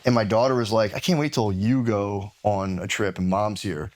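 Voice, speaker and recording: A man's voice: lowish voice, fairly even intonation, moderate pace. A middle-aged American man chatting casually, in a hedging, self-deprecating, conversational way. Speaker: podcast guest. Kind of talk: casual chat.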